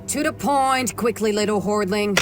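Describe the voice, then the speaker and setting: A woman's voice: medium pitch, normal speed, over music, close to an everyday voice. A woman playing a proud, commanding night elf warrior in a fantasy radio play, speaking formally and curtly. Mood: impatient.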